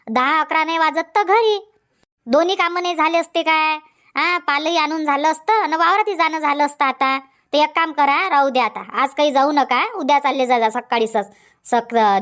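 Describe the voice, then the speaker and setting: A woman's voice: high voice, a bit bright. A woman voicing a nagging middle-aged village farm wife in a comic cartoon, scolding. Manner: scolding, insistent, long rants. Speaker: cartoon voice actor.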